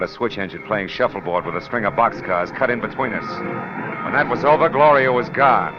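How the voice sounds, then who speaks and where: Casting: cynical wisecracking private eye, deep voice, old radio noir, muffled sound. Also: male voice. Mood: brooding narration. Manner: wry, clipped tough-guy slang. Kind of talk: old-time radio detective acting with first-person narration. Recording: muffled old radio recording with prominent music.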